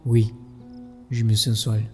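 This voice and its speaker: A man's voice: on the low side, completely flat delivery, mellow, faint music behind. Careful man narrating. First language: Portuguese. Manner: careful, deliberate learner's delivery. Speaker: vlogger. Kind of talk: scripted narration of a learning vlog.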